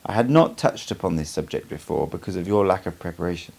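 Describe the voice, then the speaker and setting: A man's voice: low-pitched, animated, quick pace, vocal fry, a little mellow, husky, full character voice. A man voicing a cryptic sorcerer teacher in an audiobook, speaking in a dry, instructive manner. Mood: patient, explaining.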